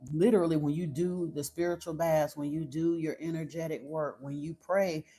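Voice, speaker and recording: Casual livestream spiritual host from Florida, mid-pitched voice, frank and chatty, answers viewers one by one. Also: steady tone, normal speed, warm tone, slightly rough, soft background music. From Florida, United States. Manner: chatty and direct, turns blunt and stern; frequent fillers and self-restarts.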